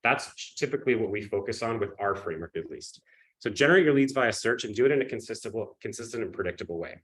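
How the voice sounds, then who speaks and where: A man with a cold, sniffling and coughing, giving an energetic live webinar pitch and often checking in with his audience. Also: fast, rough recording. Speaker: webinar presenter.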